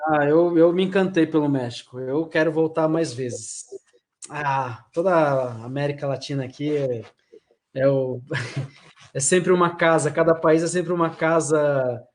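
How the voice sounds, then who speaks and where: Man, from Brazil, live chat host, friendly, laughs easily, slightly high pitch. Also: measured pace. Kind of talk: casual interview chat.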